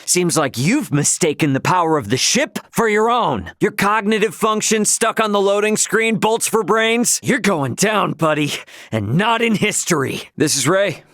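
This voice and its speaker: An actor playing a brash, hot-headed but loyal young starship captain in a video game, with a blunt, cocky, slangy delivery. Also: male voice, sits high, expressive, normal speed, a bit bright, no room sound, studio quality. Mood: defiant, taunting, then alarmed, urgent. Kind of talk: voiced video game character lines.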